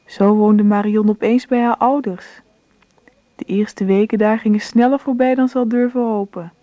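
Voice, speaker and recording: A woman playing a grown daughter who narrates her past in a measured storytelling way, in a radio drama with dulled sound. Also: medium pitch, steady tone, mellow, no room sound, highs cut off, lightly acted. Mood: calm, reflective.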